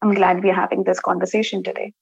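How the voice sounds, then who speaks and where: Woman podcast co-host, warm, encouraging interviewer, muffled dry audio. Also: mid-range voice, little pitch movement, fast, vocal fry, breathy edge, no room sound. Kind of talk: interviewing a guest.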